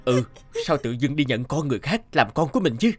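A man's voice: mid-range voice, animated, fast talker, lightly acted. An actor playing an earnest, considerate man in a moral cartoon drama. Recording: music under the voice.

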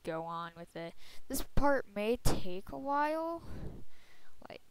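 A young woman with a soft voice giving a casual computer tutorial, chatty and full of fillers. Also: a little high, very animated, slow pace, slight background noise. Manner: soft-spoken. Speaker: tutorial maker. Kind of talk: screen-recorded computer tutorial. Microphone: computer microphone.

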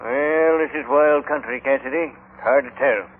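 An actor playing a matter-of-fact small-town frontier doctor in an old radio Western. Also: male voice, mid-range voice, moderate pace, close to an everyday voice. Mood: curious, obliging. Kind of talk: 1950s radio Western acting. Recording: tinny old radio recording.

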